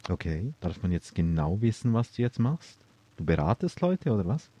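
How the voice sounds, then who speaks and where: Deep, dark-voiced male interviewer. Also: very animated, moderate pace, dry recording. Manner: joking, teasing questions. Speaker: podcast host. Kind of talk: hosted interview.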